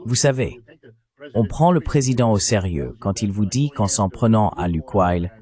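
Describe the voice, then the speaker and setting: Male synthetic voice-over, lowish pitch, for translated panel commentary; explanatory and rhetorical. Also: lively intonation, moderate pace, darkish tone. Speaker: political and economic commentator.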